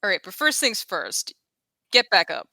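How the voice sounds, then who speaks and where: A woman's voice: mid-range voice, huge pitch swings, moderate pace, gravelly, bright, forceful tone, no echo. A woman playing a tabletop roleplaying game, talking casually with a wry, conversational manner.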